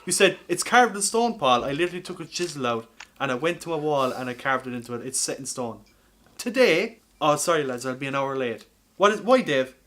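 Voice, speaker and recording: Higher-voiced man bantering on a podcast. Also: lively intonation, a little fast, bright, forceful tone. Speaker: podcast host. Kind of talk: casual comedic podcast chat.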